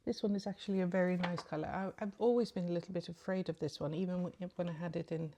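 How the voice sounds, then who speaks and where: Lady rambling thru her makeup, lots of ums, lowish voice, sleepy morning vibe. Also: fairly even intonation, quick pace, mellow, a little hiss or hum. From Sweden. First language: Swedish. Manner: rambling and chatty, many ums. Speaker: beauty vlogger. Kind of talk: casual makeup tutorial chat.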